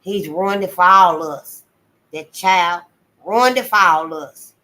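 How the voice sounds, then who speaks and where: A woman's voice: medium pitch, normal speed, fairly bright tone, natural voice. A woman voicing an indignant older servant woman who clucks with disapproval.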